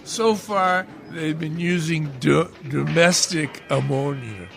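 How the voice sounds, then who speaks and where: A man's voice: a little high, takes it slowly, vocal fry, soft background music. A man playing a boozy, verbose, philosophizing drunken sidekick in an old-time radio detective drama, wry and theatrical. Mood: rambling, wry.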